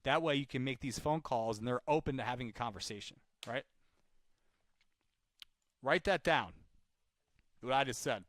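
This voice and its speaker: A man's voice: medium pitch, moderate pace, long pauses, a bit bright, no room sound, clean studio sound. A man working as a sales caller and trainer, talking informally and chattily and repeating his points for emphasis during live calls. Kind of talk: live cold-call demonstration with coaching talk.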